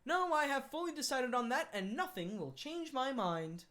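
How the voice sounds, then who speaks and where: Woman doing a suave male gentleman thief, cocky, mocking, emphatic, lively swoops in pitch, storybook reading. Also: normal speed. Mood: confident. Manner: emphatic, mocking and self-assured. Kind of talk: audiobook character voice.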